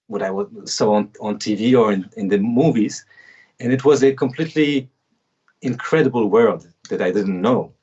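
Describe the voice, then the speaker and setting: A man with a Polish accent, guesting on a casual livestream interview from home, speaking haltingly with frequent restarts. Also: fairly low pitch, darkish tone, slightly rough, muffled recording. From Poland. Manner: halting, many restarts and fillers. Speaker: guest musician.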